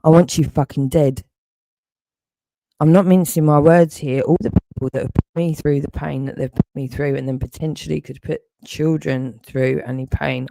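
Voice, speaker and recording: A man's voice: medium pitch, creaky, warm tone, no room sound, low-quality recording. A British man in an angry, threatening rant, swearing heavily. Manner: furious, threatening, heavy swearing.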